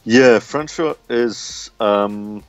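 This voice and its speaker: A man's voice: on the low side, very slow, faint music behind. A man chatting as an interview guest, a writer who speaks hesitantly and often searches for words, on a lo-fi recording. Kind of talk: casual interview chat.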